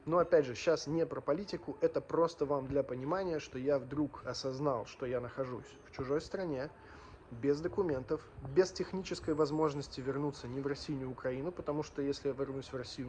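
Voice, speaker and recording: A man's voice: mid-range voice, a little mellow, a little hiss or hum. A man in his thirties from Ukraine and Russia telling his personal story as a vlogger, emphatic and earnest, repeating phrases for weight. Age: 30s.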